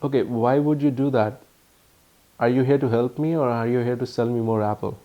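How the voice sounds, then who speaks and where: A man with an Indian accent giving a casual, halting phone review full of ums and uhs. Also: mid-range voice, steady tone, lo-fi sound. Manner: earnest, explanatory. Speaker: tech reviewer.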